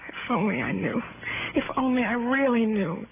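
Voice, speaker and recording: Anxious young wife: high, pleading, halting voice, melodramatic vintage radio drama, tinny broadcast sound. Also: female voice, lively intonation, gravelly. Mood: anguished. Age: young adult. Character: frightened, earnest young wife of a convict. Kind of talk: 1960s radio drama acting. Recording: tinny 1960s radio broadcast, faint noise.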